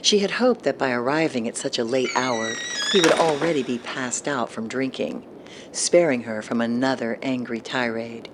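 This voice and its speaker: A narrator reading a scripted horror story in a measured, suspenseful way. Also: mid-range voice, noisy background. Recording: loud music under the narration. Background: loud music.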